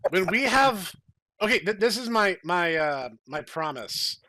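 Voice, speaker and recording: A man hosting a podcast with playful banter, talking in a mock-grandiose, theatrical way and often repeating words haltingly as he goes. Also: high voice, sing-song, bright, forceful tone. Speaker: podcast host.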